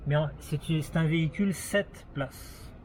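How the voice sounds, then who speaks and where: Man, Québécois accent, 30s, hesitant casual talk, slightly high voice, noisy street background. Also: measured pace. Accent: Québécois French. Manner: hesitant, frequent "euh" fillers and restarts, explaining features step by step. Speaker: travel vlogger. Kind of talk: casual walkaround of a new car. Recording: noisy outdoor ambience with vehicle sounds.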